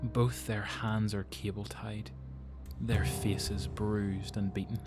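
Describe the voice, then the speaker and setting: A man's voice: fairly low pitch, little pitch movement, takes it slowly, music in the background. A man with a Northern Irish accent reading scripted narration for an audio drama.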